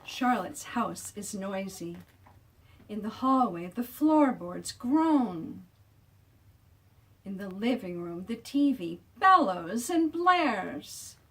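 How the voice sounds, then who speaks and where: Female author for picture-book read-aloud: slow, deliberate, expressive, stretches key words, recorded slightly off mic. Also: medium pitch, recorded from a distance. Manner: deliberate, drawing out sound words for emphasis. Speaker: children's author reading own book aloud.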